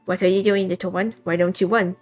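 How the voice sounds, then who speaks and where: Woman doing a proud formal cat, slightly lower voice, measured and haughty, soft music behind. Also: flat delivery, natural voice.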